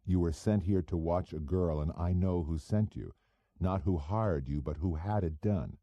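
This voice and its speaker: A man playing a terse, guarded hardboiled detective with a deadpan, challenging manner in an audiobook. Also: deep voice, normal speed, no echo, muffled recording, natural voice. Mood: quiet challenge.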